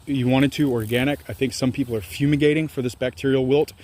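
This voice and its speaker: A man's voice: medium pitch, steady tone, fast, darkish tone. A man in his thirties, an organic farmer, chatting candidly in a rambling, self-deprecating way while filming himself outdoors on his farm. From Louisiana, US. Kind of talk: casual farm vlog. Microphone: handheld camera at arm's length.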